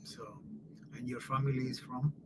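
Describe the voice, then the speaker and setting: A middle-aged man in casual conversation, talking in halting starts and often clearing his throat. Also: mid-range voice, monotone, fairly bright tone, slight background noise.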